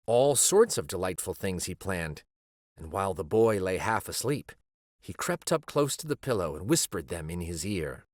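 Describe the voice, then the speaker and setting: A man reading a children's story aloud as a storyteller, with measured, dramatic phrasing. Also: deep voice, big pitch swings, no echo. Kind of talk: expressive scripted storybook narration.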